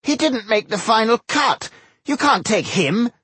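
A man's voice: high-pitched, sing-song, normal speed, husky, no room sound, pitched above the performer's own voice, full character voice. A man voicing an indignant, disapproving dwarf stonemason with an insistent, incredulous manner, in a fantasy audiobook.

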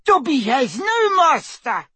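A man voicing a tiny, devoted male house-elf, squeaky, earnest and defiant, in a storybook narration. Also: big pitch swings, slow pace, sharp tone, dry recording, heavily acted. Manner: earnest, speaks of himself in third person.

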